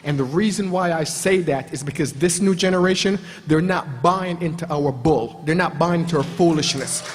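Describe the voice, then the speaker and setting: A man's voice: a little high, moderate pace, a little hiss or hum. A man from Jamaica speaking on a panel, emphatic and repeating phrases for effect. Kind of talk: passionate motivational panel talk. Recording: long hall reverb.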